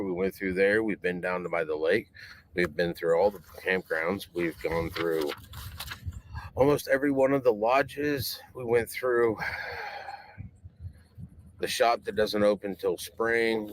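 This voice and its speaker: A man's voice: low voice, low-quality recording. A tired man giving informal interview answers outdoors in a park. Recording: faint outdoor background noise.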